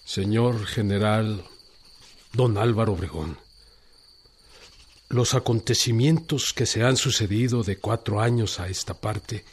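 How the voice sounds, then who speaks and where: Solemn Mexican revolutionary leader. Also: male voice, fairly low pitch, slightly rough. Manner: solemn, declamatory oratory. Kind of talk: radio drama historical speech.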